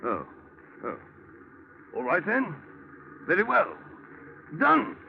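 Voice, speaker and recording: An actor playing a genteel, polite and effusive British gentleman businessman with a plummy manner in a 1940s radio drama. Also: sits high, huge pitch swings, slow, creaky, full character voice. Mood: eager, obliging. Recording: tinny, noisy vintage radio recording.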